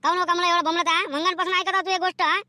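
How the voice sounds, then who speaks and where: Bright, squealing-high quarrelsome neighbor woman played by a man. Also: little pitch movement, very fast, no echo, full character voice. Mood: taunting, indignant. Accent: rural Vidarbha Marathi. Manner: quarrelsome, taunting. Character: combative neighbor woman, sharp-tongued mother of a son. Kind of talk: village cartoon comedy skit.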